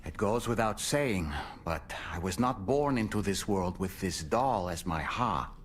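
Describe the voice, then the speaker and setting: A man's voice: deep voice, expressive, measured pace, slight background noise. An actor playing a courteous, loyal man living as a doll in a video game, speaking formally and politely. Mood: courteous, earnest. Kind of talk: scripted game cutscene dialogue.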